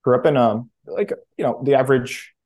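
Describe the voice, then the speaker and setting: A man, answering interview questions in a casual, halting way with many restarts. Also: medium pitch, animated, a little mellow. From Romania. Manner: halting, frequent self-restarts and fillers. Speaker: startup founder guest.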